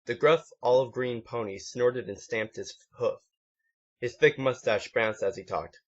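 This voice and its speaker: A man's voice: mid-range voice, measured pace. A man reading a story aloud as an amateur, in one take, now and then stumbling and correcting himself. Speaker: amateur fan fiction reader. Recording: dull lo-fi home recording.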